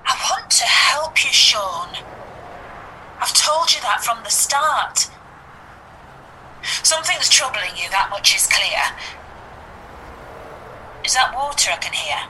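An actress playing a concerned, persistent detective inspector in an audio drama, coaxing and questioning in a measured way. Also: female voice, on the high side, animated, pushed and bright, a little hiss or hum, exaggerated voice, poor audio. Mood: urgent, reassuring.

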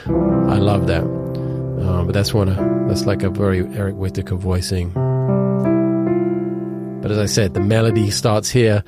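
A lowish-voiced man in his thirties, bunged up with a cold, wanders through a casual lesson on chord voicings with plenty of fillers and restarts. A piano plays loudly under his speech throughout. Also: level tone, unhurried. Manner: rambling, restarts and fillers, occasional hummed notes. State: congested with a cold. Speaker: musician teaching composition. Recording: piano playing loudly in the mix.

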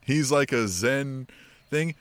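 A man's voice: mid-range voice, slow, a bit bright, no echo. A man co-hosting a wrestling podcast, rambling and speculating with lots of fillers. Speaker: podcast co-host. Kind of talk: casual wrestling chat.

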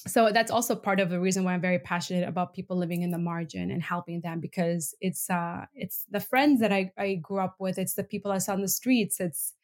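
A woman's voice: fairly low pitch, fairly even intonation, a little fast, hardly any pauses. A woman from Venezuela and Bolivia, a podcast guest speaking earnestly and reflectively in a casual interview, with frequent ums. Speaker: podcast guest, human rights advocate.

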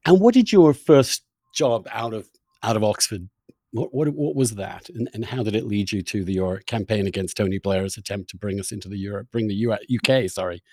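Low-voiced man interviewing, close dry sound. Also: dark tone, dry recording. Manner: halting, rephrases questions repeatedly. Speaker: interviewer, podcast host. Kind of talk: conversational, probing interview.

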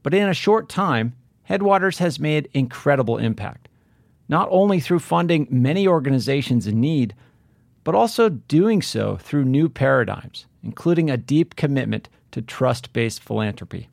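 A man's voice: mid-range voice, expressive, normal speed, gravelly, darkish tone, no room sound, clean studio sound. A man hosting a podcast interview, asking questions and offering brief acknowledgments. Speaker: podcast host. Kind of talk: conversational interview.

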